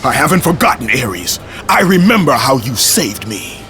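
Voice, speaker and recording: An actor playing a grim, haunted Spartan warrior in a video game cutscene, with a deep, harsh voice. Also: male voice, big pitch swings, normal speed. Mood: bitter. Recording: game cutscene under loud music.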